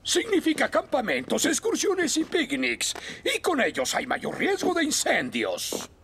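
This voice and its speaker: A man's voice: extremely high pitch, huge pitch swings, a little slow, gravelly, a bit bright, a little hiss or hum, full character voice. A man voicing an older, commanding, fussy fire station chief in a Spanish cartoon dub, speaking with emphatic authority. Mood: instructive, pompous.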